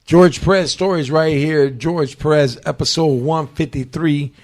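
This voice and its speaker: A man's voice: fairly high pitch, measured pace. A man hosting a podcast, chatting casually in loose, slangy, joking banter. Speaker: podcast host.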